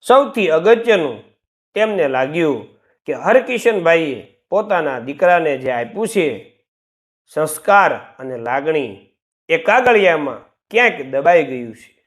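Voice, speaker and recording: A man narrates a moral story with dramatic expression. His voice swings widely in pitch, giving the telling a lively, sing-song shape. It sits a little higher than most men's. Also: measured pace.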